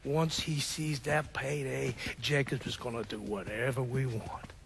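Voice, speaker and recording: An actor playing a crooked, fast-talking American mobster in a video game, crude, streetwise and defensive. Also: male voice, medium pitch, fairly even intonation, vocal fry, a little hiss or hum. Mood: scheming, eager. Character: crooked, fast-talking mobster, scheming younger-sounding brother of a boss. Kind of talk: video game cutscene acting.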